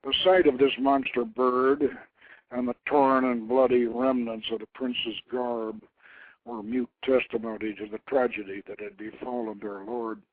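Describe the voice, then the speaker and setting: A man reading a story aloud as dramatic scripted narration, theatrical in delivery, swelling loud and sinking to hushed tones. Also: mid-range voice, little pitch movement, unhurried, dry recording. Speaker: host reading his own novelette. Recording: narrow-band phone line, internet radio.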